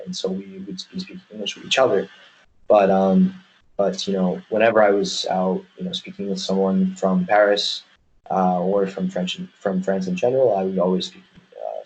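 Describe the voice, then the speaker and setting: A man's voice: sits low, darkish tone, rough recording. An American man speaking casually as an interview guest, explaining things in a rambling way peppered with "you know". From United States.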